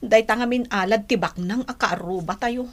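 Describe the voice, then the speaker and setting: An actress playing a poor, fretful village wife, plaintive and worried, in an Ilocano radio drama. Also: female voice, mid-range voice, moderate pace, a little hiss or hum, close to an everyday voice. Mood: worried, complaining.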